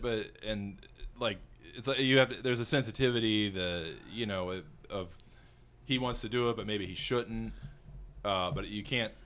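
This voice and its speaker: A man in his thirties with a big voice, a filmmaker talking through his thoughts in a casual conversation, halting and restarting as he goes. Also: on the low side, fairly bright tone. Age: 30s. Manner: rambling, halting, with frequent restarts. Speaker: filmmaker guest.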